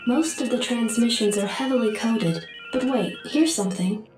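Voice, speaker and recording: A woman voicing a calm computer adjutant, echoey. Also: fairly high pitch, normal speed, music in the background, natural voice. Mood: reporting. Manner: even, clipped status reports. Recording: echoey, distant comms sound.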